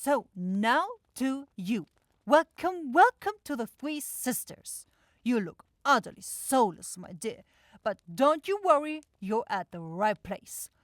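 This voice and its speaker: A woman's voice: a little high, big pitch swings, slow pace, no room sound, voice pushed up. A woman voicing a self-assured demon saleswoman in a fantasy audio roleplay, with effusive, theatrical sales patter. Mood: effusive, confident. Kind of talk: fantasy ASMR roleplay acting.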